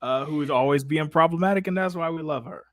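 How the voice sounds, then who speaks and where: Slangy male stream host, higher-pitched voice. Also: fast. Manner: slangy, playful, informal y'all-heavy talk. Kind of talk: casual improvised banter and chat Q&A.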